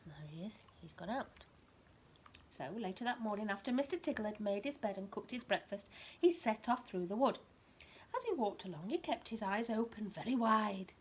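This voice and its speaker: A woman with a mid-pitched voice reads a children's story in an expressive, teacherly way. She speaks directly to a small child and keeps her wording simple. There is clear ambient noise behind her. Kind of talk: animated storybook read-aloud with asides explaining words.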